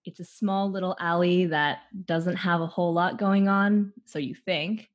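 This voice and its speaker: A woman from Canada speaks at an unhurried, reflective pace, pausing often and laughing lightly as she talks. Her voice sits a little low. The recording sounds a bit dull and muffled. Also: fairly even intonation. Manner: slow, thoughtful, with frequent laughs and pauses. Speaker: theater artist and guest. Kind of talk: conversational interview, opening with a guided imagined scene.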